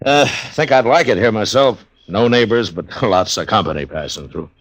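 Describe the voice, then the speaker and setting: A man acting a steady, probing American frontier marshal in an old-time radio western, measured and understated. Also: fairly low pitch, dry recording. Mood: casual, probing. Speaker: lead actor and narrator in a radio western.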